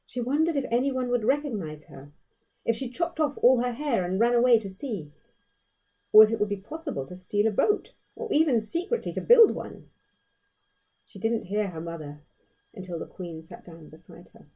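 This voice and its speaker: A woman reading a children's story aloud in a measured, expressive storytelling way, leaning on key phrases. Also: mid-range voice, husky, poor audio. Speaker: author reading own story. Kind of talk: expressive children's audiobook narration.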